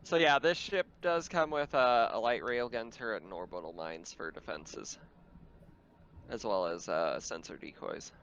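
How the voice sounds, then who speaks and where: A man's voice: lowish voice, measured pace, a bit bright, highs cut off, slight background noise. A man chatting casually as game master of a tabletop roleplaying game.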